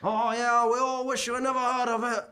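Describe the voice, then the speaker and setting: A man's voice: very high-pitched, little pitch movement, fairly quick, bright, forceful tone. A man playing a chirpy, chattering ghost. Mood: chatty. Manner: cheerful, rambling, chuckling. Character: chatty ghost of a male former professor. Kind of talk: live stage radio-play acting. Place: theatre stage before a live audience.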